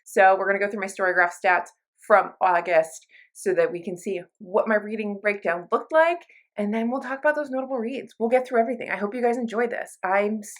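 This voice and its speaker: Casting: female book vlogger, medium pitch, casual and chatty, loosely conversational delivery with self-interruptions. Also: a little fast, hardly any pauses. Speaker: book reviewer, video channel host. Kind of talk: casual chatty vlog talk to viewers.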